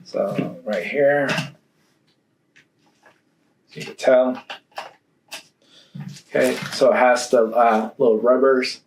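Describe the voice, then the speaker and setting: A man giving a casual, hands-on product review, thinking aloud in a halting way. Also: mid-range voice, fairly even intonation, vocal fry. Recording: roomy echo, faint background noise.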